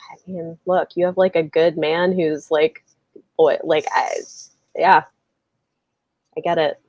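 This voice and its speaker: A woman chatting casually about books on a livestream, animated and informal, halting as she thinks aloud. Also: low voice, no echo. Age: adult, married with children. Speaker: book discussion host. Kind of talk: casual book chat on a livestream.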